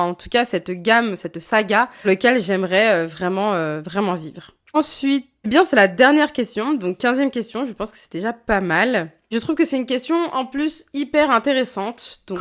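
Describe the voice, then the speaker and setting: A woman in her late twenties, a YouTuber answering viewer questions in a frank, chatty way, with many fillers and restarts. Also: fairly high pitch. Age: late 20s. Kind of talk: casual Q&A vlog.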